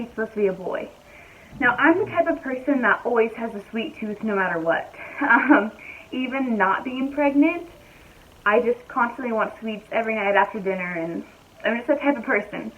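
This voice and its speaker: Slightly raspy, chatty young woman. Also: a little high, fairly quick, dull audio, a little hiss or hum. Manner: chatty, easygoing, thinks aloud with fillers. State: hoarse. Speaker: vlogger. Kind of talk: casual chat to camera. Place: at home, indoors.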